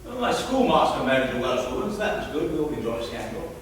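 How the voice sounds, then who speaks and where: A man's voice: on the high side, very animated, fast talker, slight background noise. Casual drunk toff, nosy, sly, drawls then bursts out, played by a man on a distant stage. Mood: prying, teasing. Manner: theatrical, sly, drawling with sudden outbursts. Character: drunk posh upper-class man, nosy and teasing. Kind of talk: stage play performance. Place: theatre stage. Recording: echoey theatre stage from far off.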